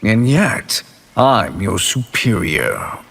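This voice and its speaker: Haughty sorcerer, smug, husky, slow, long reverb. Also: male voice, on the low side, huge pitch swings, fairly bright tone, full character voice. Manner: smug, condescending. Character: haughty male sorcerer, cold and superior.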